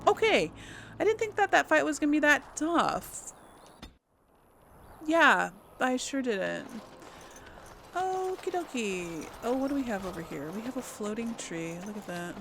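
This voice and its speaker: A woman gives informal video game commentary, musing aloud as she plays. Her voice is fairly high and very animated in pitch, though her pace is slow and unhurried. There is some faint noise behind her. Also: creaky. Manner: informal thinking aloud to viewers. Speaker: video game let's player. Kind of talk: casual gameplay commentary.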